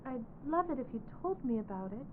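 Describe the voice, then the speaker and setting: A woman voicing a lovestruck, clingy undead corpse bride in an ASMR audio roleplay, soft, earnest and pleading. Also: high voice, expressive, fairly quick, narrow, tinny audio, noisy background. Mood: coaxing, tender.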